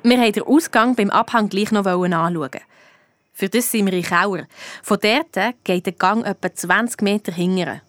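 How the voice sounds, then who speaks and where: A woman's voice: mid-range voice, normal speed, dry recording, studio quality, lightly acted. A female performer with a Swiss German accent playing a young girl detective who tells her case in colloquial first-person narration. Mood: puzzled. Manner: colloquial storytelling. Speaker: narrator of a detective radio play.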